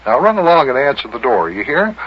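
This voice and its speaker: A man's voice: mid-range voice, sing-song, quick pace, lightly acted. A man acting a good-natured, patient guardian in an old-time radio children's show, folksy and full of exclamations. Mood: gently scolding. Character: good-natured, scolding grown-up guardian of a boy. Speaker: host and lead actor of children's radio show. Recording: muffled, hissy vintage radio recording.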